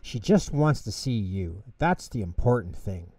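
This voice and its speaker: Calm storybook bear performed by a male reader, reassuring, soft-edged mellow tone, leisurely gentle delivery. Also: on the low side, slightly rough, dry recording, distant mic, exaggerated voice. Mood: soothing. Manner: gentle, unhurried, soothing.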